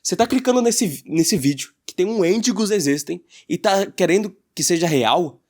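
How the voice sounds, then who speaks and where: A man's voice: high voice, lively intonation, moderate pace, a little mellow. A Brazilian man giving casual opinion commentary as a YouTuber, in an informal, slangy way.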